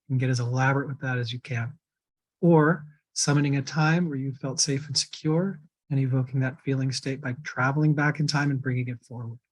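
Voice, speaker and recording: A man teaching an online workshop on personal energy, calm and reassuring as he reviews grounding skills. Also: medium pitch. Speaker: workshop instructor.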